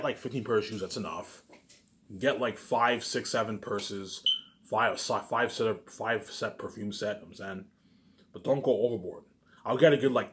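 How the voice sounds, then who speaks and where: Fast-talking man, low voice, rambling and boastful. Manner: repetitive, keeps restating phrases and tagging sentences with catchphrases; boastful, self-aggrandizing. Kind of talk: casual rambling monologue to camera.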